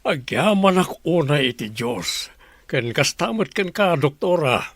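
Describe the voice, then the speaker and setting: A man's voice: fairly high pitch, big pitch swings, measured pace, a bit bright, no room sound, full character voice. A man voicing a blunt, complaining grown grandson in a radio drama. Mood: grateful. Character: grown grandson, resentful and demanding. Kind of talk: Ilocano radio drama acting.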